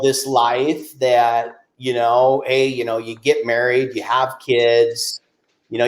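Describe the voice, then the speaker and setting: A man in his forties hosts a podcast interview, talking loosely and leaning on "you know" between thoughts. His voice rings in an echoey room. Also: medium pitch. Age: 40s. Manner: talkative, many "you know" fillers. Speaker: podcast host. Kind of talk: casual interview chat.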